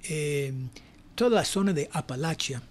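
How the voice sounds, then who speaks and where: A man's voice: on the high side, expressive. Older American man, hesitant academic speaker. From United States (New Jersey). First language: English. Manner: hesitant, reflective, frequent pauses. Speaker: academic guest, university professor. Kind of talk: conversational interview answers.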